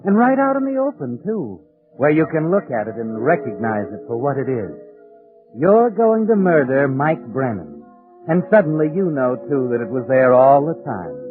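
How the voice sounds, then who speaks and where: An actor playing a sardonic, all-knowing mystery narrator who taunts a murderer in 1940s radio suspense style. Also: male voice, medium pitch, sing-song, moderate pace, narrow, tinny audio, lightly acted. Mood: taunting, ominous. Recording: vintage radio with prominent music behind. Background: dramatic music.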